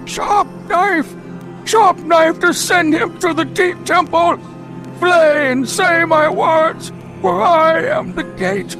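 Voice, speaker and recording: An actor playing a fanatical, crazed cult priest of a dark god, ranting theatrically in an old-time radio drama. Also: male voice, very high-pitched, slow pace, full character voice. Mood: bloodthirsty zeal. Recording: dramatic music behind.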